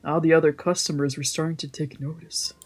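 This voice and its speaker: A woman's voice: low voice, level tone, fairly quick. A woman streamer reading visual novel narration aloud on a let's play. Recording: music behind the voice.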